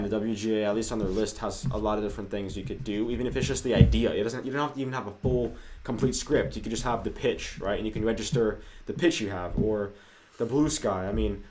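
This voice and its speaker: A man's voice: fairly low pitch, darkish tone. A man co-hosting a casual livestream, talking through viewer questions in a loose, conversational way. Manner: conversational, many fillers, hedging asides. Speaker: co-host.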